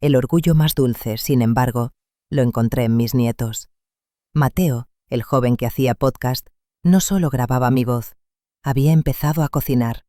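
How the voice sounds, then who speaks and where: A man narrating a first-person story in a calm, measured, reflective way. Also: medium pitch, no echo, studio quality.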